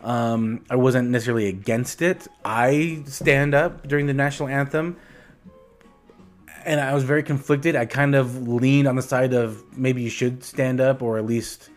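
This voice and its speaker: A man's voice: mid-range voice, steady tone, normal speed, faint music behind. A man co-hosting a casual podcast, thinking aloud with many restarts and a reflective manner. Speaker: podcast co-host.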